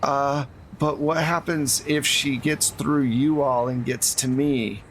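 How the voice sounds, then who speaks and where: A man's voice: mid-range voice, steady tone, takes it slowly, fairly bright tone, low-quality recording. A man acts out a scared suburban woman caught up in a cult, in an improvised tabletop game. The voice stammers and pleads, repeating words nervously, with noticeable noise behind it. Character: frightened suburban woman cultist, panicky and pleading.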